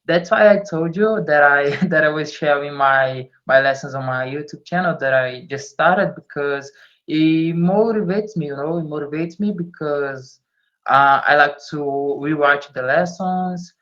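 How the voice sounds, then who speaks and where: A man's voice: fairly high pitch, a little slow, a bit bright. Dull-sounding call, man practising English. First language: not English. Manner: hesitant, frequent small laughs. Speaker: English learner. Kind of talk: casual chat in a language lesson. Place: online video call.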